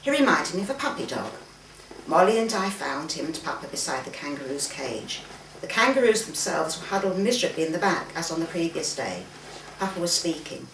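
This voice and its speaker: Distant, lively woman reading a story aloud. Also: sits low, fairly quick. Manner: acts out the dialogue, with occasional stumbles. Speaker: author reading own fiction aloud. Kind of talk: expressive story reading from a manuscript. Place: room in a private home, live reading event. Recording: roomy, distant mic, faint background noise.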